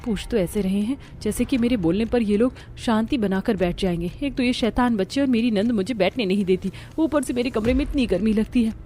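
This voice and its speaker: Cartoon family drama: irritable young city wife played by a woman, complaining and sarcastic, highish voice over faint music. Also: a little fast, few pauses, dark tone, natural voice. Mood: sulky, sarcastic. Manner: sarcastic, grumbling. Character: irritable young city-bred daughter-in-law, sulky and complaining. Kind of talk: Hindi animated family-drama story acting.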